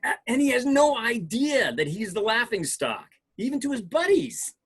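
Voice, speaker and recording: Man playing a husky, animated, scornful twenty-something. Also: very high-pitched, moderate pace, heavily acted. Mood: scornful, amused. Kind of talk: amateur screenplay table read.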